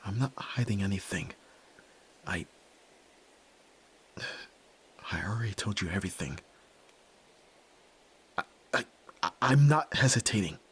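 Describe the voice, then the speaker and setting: A young man playing a guarded tsundere nerd turned yakuza in an ASMR roleplay, halting and stammering with breathy sighs, tender underneath. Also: on the low side, a little hiss or hum. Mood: defensive, agitated. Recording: music behind. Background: background music.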